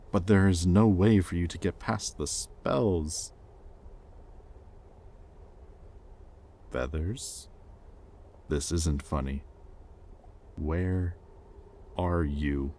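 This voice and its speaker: A man playing a possessive, conflicted demon in an intimate audio roleplay, with halting, sardonic delivery and secret tenderness. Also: sits low, mellow, a little hiss or hum. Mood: confused, alarmed. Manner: intimate, halting, sardonic, with sighs and muttered curses. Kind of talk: dramatic audio roleplay addressed to the listener.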